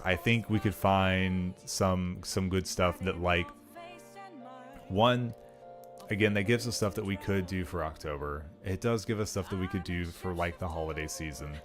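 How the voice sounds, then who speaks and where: A man's voice: sits low, normal speed, faint music behind. A young man streaming, chatting casually and rambling with lots of filler words. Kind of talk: casual livestream chat.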